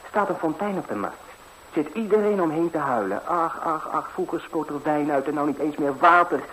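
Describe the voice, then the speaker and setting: A carefree young fairy-tale hero on vintage radio, chatting breezily and eagerly. The voice is fairly high and very animated, with faint noise on the old recording. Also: male voice, fairly quick. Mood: animated recounting. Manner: breezy, colloquial, eager storytelling. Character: cheerful, fearless, naive young lad, lucky fairy-tale hero. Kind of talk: 1960s Dutch radio fairy-tale acting. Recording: slightly noisy old 1960s radio recording.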